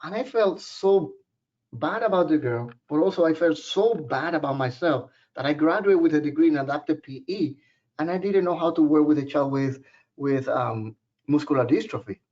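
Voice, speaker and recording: A man's voice: fairly high pitch, animated, moderate pace, a little mellow. A man from Puerto Rico with a Puerto Rican Spanish accent, giving a webinar presentation in a joking, personal storytelling way. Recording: muffled lo-fi webinar audio.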